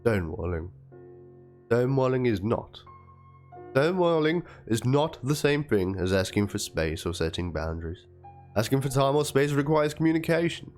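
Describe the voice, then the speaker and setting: A man's voice: mid-range voice, sing-song, moderate pace, darkish tone. A man narrating a written document aloud as a dramatic reading, with theatrical delivery. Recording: music under the voice. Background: background music.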